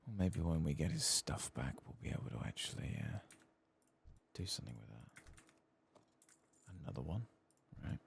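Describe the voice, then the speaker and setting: Very deep, gravelly soft-spoken man. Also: sing-song, moderate pace, pauses often. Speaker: gaming ASMR streamer. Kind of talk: soft-spoken ASMR game commentary, partly whispered. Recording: faint keyboard and clicking noise.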